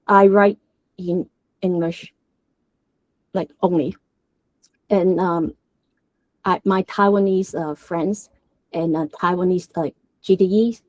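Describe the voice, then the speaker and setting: A woman's voice: lowish voice, warm tone, no echo, low-quality recording. A woman from Hong Kong with a Hong Kong accent and Cantonese as her first language, giving a technical presentation at a developer meetup. She talks hesitantly, with many filler sounds and restarts. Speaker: guest speaker at a developer meetup.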